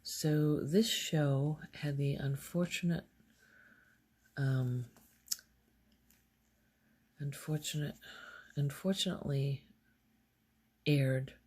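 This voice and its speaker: A woman hosting an ASMR video, speaking softly in a casual, rambling show-and-tell way and often slipping into a whisper. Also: sits low, steady tone, extremely slow, long pauses, slightly rough.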